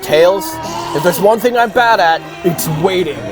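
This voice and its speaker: A woman's voice: on the low side, measured pace, music in the background, close to an everyday voice. A woman voicing a brash, impatient young male hedgehog hero with urgent, emphatic delivery in a cartoon audio drama. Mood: tense impatience.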